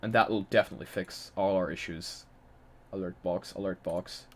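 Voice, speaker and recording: A man's voice: low voice, fairly even intonation, normal speed. A young man from Poland chatting casually on a live stream, reading chat aloud and laughing often.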